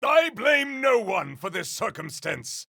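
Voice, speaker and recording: An actor playing a bombastic, vengeful warrior hero in a video game, declaiming battle cries in a theatrical, archaic, grandiose way with a gravelly, raspy voice. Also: male voice, high-pitched, sing-song, slow, pushed and bright, dry recording, studio quality, full character voice. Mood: fierce, bombastic. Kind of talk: video game combat barks.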